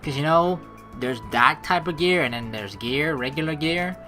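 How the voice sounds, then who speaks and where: A woman's voice: deep voice, normal speed, pushed and bright. A woman hosting a let's play, chatting informally with rambling asides and reading the game's story text aloud. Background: game music.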